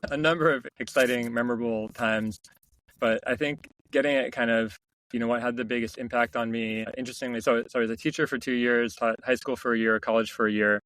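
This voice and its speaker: A middle-aged man, a company founder, telling stories in a relaxed interview, reflective and full of restarts. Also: medium pitch, fast talker, rough recording. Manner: reflective, many restarts and "you know" fillers. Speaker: founder and CEO, podcast guest. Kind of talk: casual interview storytelling.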